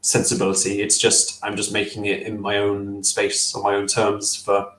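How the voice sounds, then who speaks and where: A man's voice: fairly low pitch, monotone, normal speed, fairly bright tone, echoey room, rough recording. A young man on a video call with a headset, answering interview questions casually, thoughtful and hesitant with many fillers and restarts. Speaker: stop-motion filmmaker guest. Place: small room at home.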